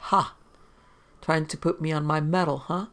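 A man plays a boozy reporter in a pulp detective audiobook. His creaky voice rambles through flippant banter. He sounds slack and mock-innocent, always joking. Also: on the high side, fairly even intonation, normal speed, a bit bright. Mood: playfully evasive. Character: tipsy, wisecracking, rambling male newspaper reporter.

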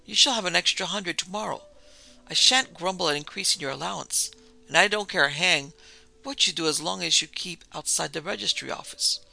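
A man's voice: on the high side, moderate pace, vocal fry, pushed and bright, exaggerated voice, poor audio. A man voicing a domineering older baronet father in a storybook, blustering, scornful and condescending. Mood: brisk, coaxing.

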